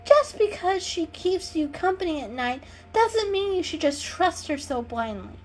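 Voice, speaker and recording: Lady doing a stuck-up girl cat from a storybook, high voice, scoffing and snooty. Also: sing-song, measured pace, slight background noise, full character voice. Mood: scornful. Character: haughty, scornful female cat, sharp-tongued and distrustful.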